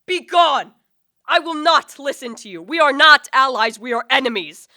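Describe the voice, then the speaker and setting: A woman's voice: high voice, lively intonation, moderate pace, sharp tone, dry recording, clean studio sound. A woman acting a haughty, guilt-ridden male scientist in a radio play, with formal, declamatory literary speech. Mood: furious, contemptuous. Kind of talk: dramatic radio play acting with first-person narration.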